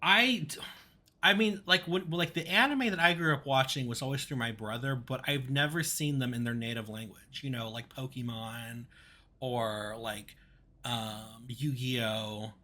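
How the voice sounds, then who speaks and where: A man with a Texan accent chatting casually as a podcast co-host, easygoing and quick to laugh. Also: medium pitch, steady tone, sharp tone. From Texas. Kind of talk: casual conversation.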